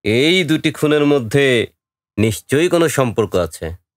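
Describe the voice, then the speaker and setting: Male actor as knowing village informant, lively intonation, dry close sound, audio drama. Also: medium pitch, unhurried, dry recording, natural voice. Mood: grave, intrigued. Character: knowing village man recounting local murders.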